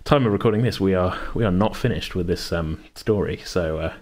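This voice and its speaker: A man's voice: sits low, a little fast, a little mellow. A man talking casually as host and game master, in a rambling, hesitant, self-correcting way. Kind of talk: casual chat about a tabletop game.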